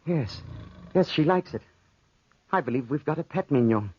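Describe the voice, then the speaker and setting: Cruel teasing soldier, taunting, theatrical, 1940s radio drama, muffled old recording. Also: male voice, on the high side, lively intonation, moderate pace, breathy edge. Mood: fascinated, amused. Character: stranded soldier, cruel and teasing, fascinated by a panther.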